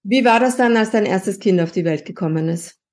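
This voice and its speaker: A woman hosting a podcast asks her guest engaged, encouraging questions. Her voice sits at a medium pitch. She keeps the conversation moving with short, curious prompts. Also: a little fast, a little mellow. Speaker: podcast host, linguist. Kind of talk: interviewing.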